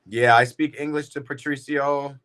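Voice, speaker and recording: A man's voice: mid-range voice, steady tone, normal speed, a bit bright, clear, resonant tone. A man hosting a football watch-party livestream, chatting casually and tiredly with viewers in slangy talk. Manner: slangy and laid-back, calls viewers bro and y'all.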